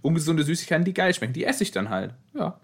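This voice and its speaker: A man's voice: on the high side, big pitch swings, fast, breathy edge. A man co-hosting a casual podcast, talking insistently and often repeating his words. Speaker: podcast host.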